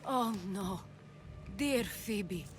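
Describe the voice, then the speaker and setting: A woman's voice: mid-range voice, lively intonation, very slow. An actress playing a composed, caring and resolute Greek noblewoman in a dramatic video game, poised and earnest. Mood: compassionate, urgent. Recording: music under the voice.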